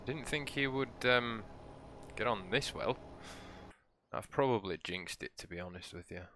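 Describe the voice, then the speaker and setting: A man with a croaky, hoarse voice hosts his own gameplay channel. He talks in a low register with a bright, forceful edge and chats along in a relaxed, meandering way. It sounds like casual commentary over a video game. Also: unhurried, a little hiss or hum. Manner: relaxed, rambling, chatty. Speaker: let's play host.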